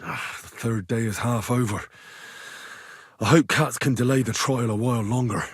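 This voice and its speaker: A husky, blunt young medieval squire. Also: male voice, medium pitch, moderate pace, no echo, studio quality. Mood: weary, frustrated. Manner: plain-spoken, earthy, blunt. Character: earnest, hot-headed young medieval squire on a quest. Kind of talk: video game character voice lines.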